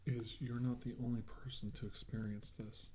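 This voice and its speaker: A man doing an improvised, soft-spoken ASMR role-play as a doctor, talking in a reassuring, halting way. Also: mid-range voice, flat delivery, background noise. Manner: reassuring, hesitant, halting delivery. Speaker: ASMR role-player acting as a government doctor.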